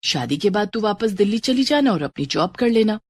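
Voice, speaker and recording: A woman voicing a kindly middle-aged mother with a gentle, coaxing manner in a family story. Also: mid-range voice, lively intonation, a little fast, slightly rough. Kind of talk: Hindi family-story dramatization.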